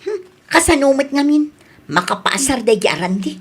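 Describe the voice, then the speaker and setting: A woman's voice: sits high, lively intonation, moderate pace. A woman with a Filipino accent playing a demanding, haughty young single woman in a radio comedy-drama. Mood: complaining. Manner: whiny, haughty, indignant. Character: fussy young single woman, demanding high-maintenance date.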